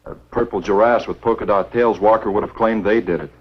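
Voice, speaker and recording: Deep-voiced stubborn American game warden, played by a man. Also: fairly even intonation, a little fast, lightly acted. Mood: skeptical. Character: stubborn, skeptical male game warden in a horror film. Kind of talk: 1950s film acting. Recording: dull, lo-fi 1950s film soundtrack.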